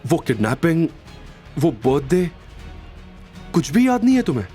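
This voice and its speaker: A man's voice: fairly high pitch, sing-song, moderate pace, warm tone, soft background music, voice pushed up. A man playing a wounded, proud young husband in an emotional, emphatic audio drama style. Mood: pleading, urgent. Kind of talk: Hindi audio drama acting.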